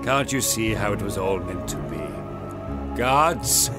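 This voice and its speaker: An actor playing a sinister, fanatical cult leader in an audio drama, grandiose and declamatory. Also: male voice, fairly low pitch, a little slow, natural voice. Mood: gloating menace. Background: music.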